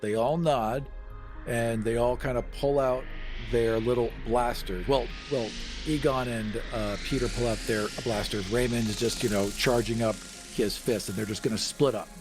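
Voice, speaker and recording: A man's voice: medium pitch, fairly even intonation, normal speed. A man playing a tabletop roleplaying game, casually describing what his characters do. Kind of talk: casual play-by-play of game actions. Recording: faint music behind. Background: background music.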